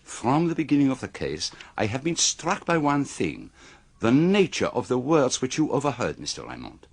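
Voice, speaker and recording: An actor playing a precise, theatrical detective in a radio mystery, speaking formally with dramatic pauses. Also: male voice, medium pitch, wildly swooping pitch, slightly rough, heavily acted. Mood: deliberate, theatrical. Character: precise, theatrical male foreign detective revealing a murderer.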